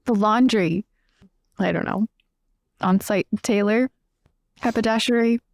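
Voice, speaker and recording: A woman's voice: medium pitch, measured pace, no room sound, clean studio sound. Casual woman player in a tabletop game. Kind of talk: casual playful game banter.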